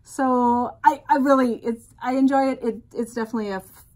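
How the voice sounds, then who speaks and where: A middle-aged woman co-hosts a relaxed podcast chat. Her voice sits a bit higher than most. She rambles with lots of fillers and lets her sentences trail off. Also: fairly quick. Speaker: podcast co-host. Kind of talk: casual chat.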